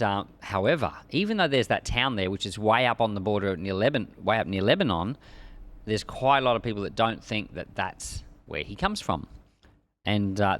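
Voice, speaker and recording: Low-voiced male preacher. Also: a bit bright. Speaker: preacher and Bible teacher. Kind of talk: Bible reading and informal expository sermon.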